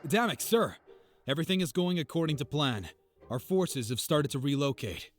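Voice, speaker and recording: A man's voice: fairly high pitch, expressive, moderate pace, lightly acted. A man voicing an eager, respectful and curious rebel soldier in a visual novel. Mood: eager, puzzled.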